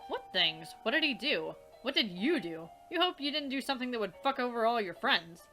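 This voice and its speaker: Woman let's-play host, fairly high voice, reads narration and rants with casual swearing over faint music. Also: big pitch swings, a little fast, sharp tone. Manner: swears freely, laughs, exasperated commentary.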